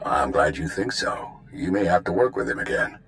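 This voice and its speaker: An actor playing an authoritative intelligence commander in a video game, measured and grave. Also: male voice, sits low, expressive, vocal fry, a bit bright, soft background music, full character voice. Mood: measured, commanding.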